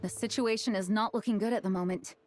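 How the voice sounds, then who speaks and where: A woman playing a composed, busy, practical comrade in a video game, speaking in a measured, dry way. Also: mid-range voice, fairly even intonation, darkish tone, soft background music, close to an everyday voice. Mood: grave.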